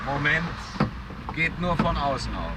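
An actor playing a chatty, resigned cab driver in a German radio drama, rambling in colloquial speech. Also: male voice, on the high side, animated, a little slow. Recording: loud car and street noise.

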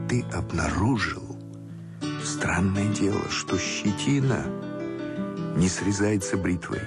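A man giving a scripted literary narration in an expressive, wry storytelling manner. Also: low-pitched. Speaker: narrator of a radio play. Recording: music behind the voice. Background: guitar music.

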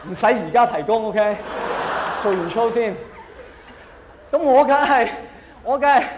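A man with a Hong Kong accent performing a self-mocking, mock-earnest stand-up comedy monologue in an animated way. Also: very high voice, measured pace, a crowd in the background. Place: large live venue with long reverb. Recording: echoey hall, faint noise.

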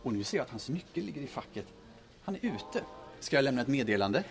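A man's voice: medium pitch, big pitch swings, vocal fry. A man playing a polite, discreet hotel receptionist in an audio drama, formal and chatty with guests. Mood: courteous, discreet. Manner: formal, courteous.

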